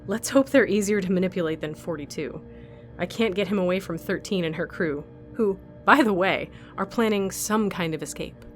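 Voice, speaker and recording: A woman's voice: fairly low pitch, moderate pace, faint music behind, lightly acted. A woman playing a bitter, self-preserving captive fighter in an audio drama, blunt, demanding and sardonic. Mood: cynical, desperate.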